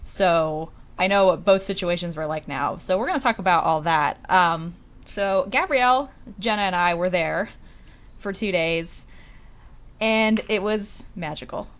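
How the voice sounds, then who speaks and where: A woman's voice: fairly low pitch, unhurried. A woman hosting a podcast in a casual, conversational group chat style. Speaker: podcast host.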